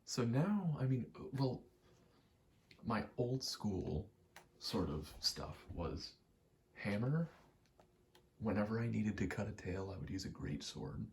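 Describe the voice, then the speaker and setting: Man, very low pitch, gravelly fry, halting ASMR gaming ramble, noticeable background noise. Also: sing-song. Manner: halting, meandering, thinking aloud while playing. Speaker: gaming video creator.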